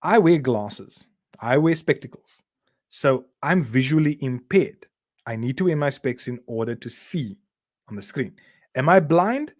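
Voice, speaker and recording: A man's voice: mid-range voice, lively intonation, unhurried, darkish tone, dry recording, studio quality. A middle-aged South African man teaching a revision lesson, explaining step by step and checking in with "okay?" and "right?". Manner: uses fillers and repeats words while explaining.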